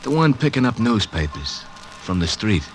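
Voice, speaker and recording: An actor playing a laconic, streetwise racetrack pal with offhand, mannered slang, in 1940s radio style. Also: male voice, lowish voice, sing-song, normal speed, over music. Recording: noisy old 1940s radio recording.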